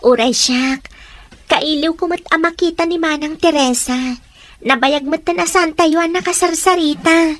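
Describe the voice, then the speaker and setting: A woman's voice: very high voice, normal speed, fairly bright tone. A woman voicing a young college student who talks politely and cheerfully with her father and friends. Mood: friendly.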